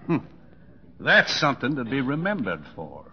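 A man's voice: medium pitch, huge pitch swings, moderate pace, husky. An actor playing a blunt, needling elderly father in an old-time radio drama, with a folksy, pointed, skeptical way of questioning. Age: older. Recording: muffled old radio recording, reverberant stage.

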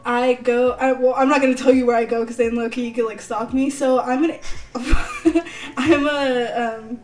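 Chatty giggly young woman, slightly high voice. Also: steady tone, moderate pace, darkish tone, distant mic, soft background music. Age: 20s. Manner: chatty, giggly, filler-heavy. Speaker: podcast host. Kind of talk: casual chat.

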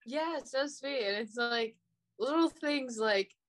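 A woman's voice: a little high, a little slow. A young woman from Illinois, a podcast guest, chatting in a giggly, bubbly, gushing way. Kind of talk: casual chat.

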